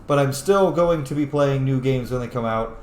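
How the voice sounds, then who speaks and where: A man's voice: medium pitch, moderate pace, a little hiss or hum. A man giving casual let's play commentary as a streamer, with rambling asides and playful exclamations at the game's characters. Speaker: gaming streamer and content creator. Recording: roomy echo under loud game music. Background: video game music.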